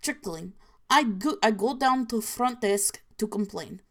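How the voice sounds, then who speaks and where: A woman voicing a grim, deadpan Soviet storyteller in a put-on mock Russian accent with clipped, broken grammar. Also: medium pitch, a little slow. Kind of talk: reading creepy stories aloud.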